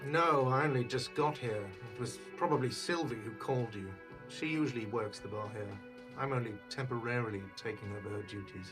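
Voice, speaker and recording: A man's voice: mid-range voice, fairly quick, music in the background, natural voice, low-quality recording. An actor playing an irritable, defensive cafeteria manager in a video game, exasperated and sarcastic, leaning hard on his words. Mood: reluctant, curt.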